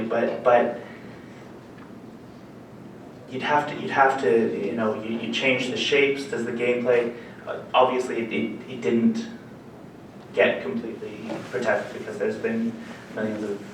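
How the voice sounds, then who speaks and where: A man giving a live talk as a lawyer, joking with the audience and often restarting his sentences. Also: medium pitch. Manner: joking, animated, many restarts and fillers. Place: meeting room with live audience. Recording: echoey hall, mic far off, faint noise.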